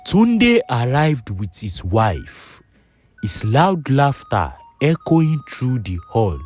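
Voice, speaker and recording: A man's voice: medium pitch, very animated, slow pace, a little mellow, soft background music. A man narrating a scripted folktale in storybook style.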